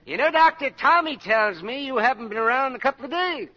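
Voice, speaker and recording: A man's voice: extremely high pitch, expressive, quick pace. A man acting a chatty, friendly, meddling male neighbor in a 1950s radio drama. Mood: friendly, chiding. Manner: folksy, effusive, nosy. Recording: dull 1950s radio broadcast recording.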